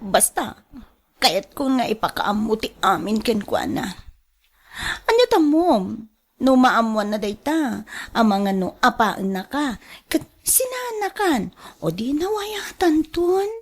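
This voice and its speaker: Woman acting a high-voiced, coaxing, clingy Ilocano mistress. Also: sing-song, slow pace, husky, full character voice. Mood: pleading, insistent. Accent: Ilocano Filipino. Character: flirtatious, possessive mistress clinging to married lover. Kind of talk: radio drama acting.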